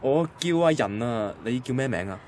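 A man's voice: mid-range voice, lively intonation, moderate pace, a little mellow, lightly acted. A man playing a shy, friendly, hesitant young man in a radio drama, with a Hong Kong Cantonese accent. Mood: friendly, casual. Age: young adult. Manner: hesitant, casual. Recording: faint ambient noise behind voice.

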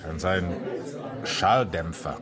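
A man playing a polite, methodical Czech police officer in a radio drama, speaking halting German with a Czech accent. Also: deep voice, crowd noise. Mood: earnest. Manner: halting foreigner's German, courteous. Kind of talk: naturalistic radio drama acting.

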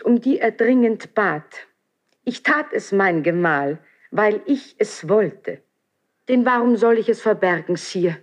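High-voiced, proud, dignified queen. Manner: formal, measured, declamatory verse delivery. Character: proud, dignified young queen, defiant before her husband. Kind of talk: 1970s German radio play acting.

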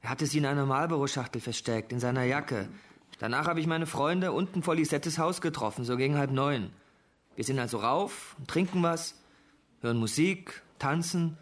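Radio crime drama: male actor as an uneasy schoolboy under questioning, higher-pitched, hesitant and defensive. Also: normal speed, close to an everyday voice. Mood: uneasy, insistent. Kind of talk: German radio play acting.

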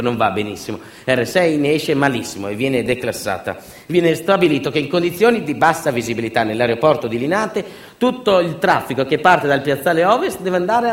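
Male stage narrator, mid-range voice. Sardonic documentary monologue with dry asides and dramatic emphasis, in a roomy echo. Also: mid-range voice. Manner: sardonic, ironic understatement with rhetorical asides; dramatic swings between emphatic delivery and quiet asides. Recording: echoey, roomy sound.

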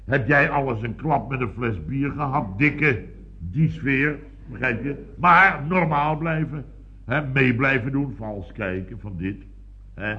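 An actor playing a blustering, boastful building contractor boss in a radio comedy, telling stories in a rambling, exclamatory, repetitive way. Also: male voice, mid-range voice, expressive, unhurried, close to an everyday voice. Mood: animated storytelling. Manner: rambling, repetitive, exclamatory storytelling with tag questions. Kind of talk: 1970s radio comedy acting. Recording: dull, lo-fi old radio recording.

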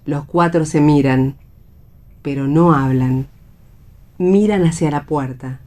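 An Argentine woman serves as narrator for a radio play reading. Her voice is low for a woman as she reads the scripted stage directions. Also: slow pace, warm tone.

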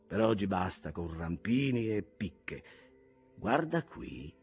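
A man's voice: deep voice, very animated, slow, vocal fry, husky, soft background music, full character voice. A man voicing a brooding, obsessed sea captain. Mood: intense. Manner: intense, shifting between whispers and loud outbursts.

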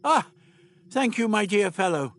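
A man voicing an eccentric old gentleman doctor in a storybook, genial and crafty, with fluttery asides and grand exclamations. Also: high voice, sing-song, measured pace, voice pushed up, heavily acted. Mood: delighted, grand.

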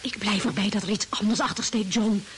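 An actress playing an anxious, pleading farm wife, fearful and insistent, in old-fashioned radio drama style. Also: female voice, mid-range voice, fairly even intonation, a little fast, a little hiss or hum, lightly acted. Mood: uneasy, pleading. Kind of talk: 1950s radio drama acting.

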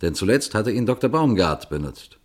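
Detective, very deep voice, measured, talks to listeners, radio play narrator. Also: male voice, sing-song, darkish tone. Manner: measured, addresses listeners directly. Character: calm older male police detective.

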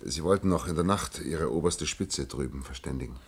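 An actor in a German radio play, playing a weary, sardonic inventor with a laconic, husky delivery. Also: male voice, rumbling low, fairly quick, exaggerated voice. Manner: laconic, ironic, understated. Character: weary, sardonic male inventor, resigned and wry.

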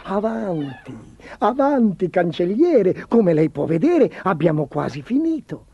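A man's voice: sits high, very animated, a little slow, dark tone, breathy edge, dull audio, full character voice. A man voicing a sly swindler posing as a royal tailor, obsequious, self-assured and persuasive. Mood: flattering. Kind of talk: storybook character voice.

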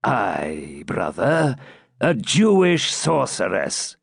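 A man voicing a severe elderly Grand Master of a holy order, speaking slowly and forcefully as he accuses. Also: medium pitch, huge pitch swings, creaky, slightly rough, no room sound, clean studio sound, full character voice. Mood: stern. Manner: slow, forceful, accusing delivery.

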